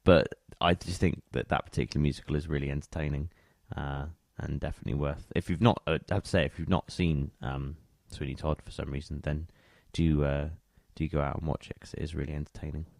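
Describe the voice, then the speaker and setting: A man's voice: rumbling low, fairly even intonation, brisk, gravelly, hardly any pauses, a little mellow, no room sound, studio quality. A man chatting casually as he hosts a music radio show. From England. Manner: chatty, conversational.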